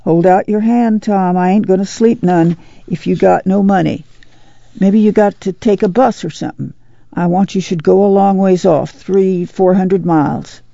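A woman's voice: mid-range voice, normal speed, gravelly, warm tone, no room sound, dull audio, lightly acted. A woman playing a worried, stern but loving mother in a dramatized novel reading, with folksy country speech and an urgent, pleading way of talking.

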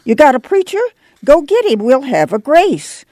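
A reader voicing a shrill, pious, spiteful old grandmother. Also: wildly swooping pitch, normal speed, darkish tone, no room sound. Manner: shrill, clamoring.

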